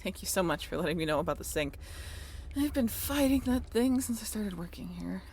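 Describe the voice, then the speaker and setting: A woman's voice: mid-range voice, expressive, a little fast, darkish tone, a little hiss or hum, natural voice. A woman playing a friendly, handy young sailing ship crew member in an intimate audio roleplay, talking in a casual, chatty way. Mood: relieved, chatty.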